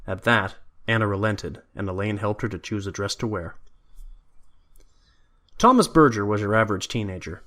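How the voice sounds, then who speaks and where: A man's voice: fairly low pitch, expressive, moderate pace, long pauses, fairly bright tone. A man reading scripted story narration as the narrator of an audio drama.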